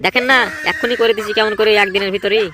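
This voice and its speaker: Man voicing a high, rapid-fire bossy gang leader. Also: fairly even intonation, crowd noise, natural voice. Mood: eager, reassuring. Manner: scolding, emphatic, repetitive. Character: bossy, scheming, irritable male village gang boss. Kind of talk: exaggerated comedy cartoon dialogue.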